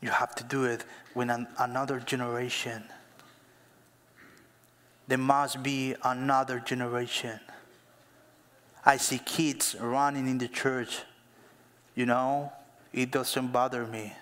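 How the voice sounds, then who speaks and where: Slow-preaching pastor in a reverberant hall. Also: male voice, mid-range voice, level tone. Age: 30s. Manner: slow and emphatic, building to louder exhortation. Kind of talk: sermon. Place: church hall.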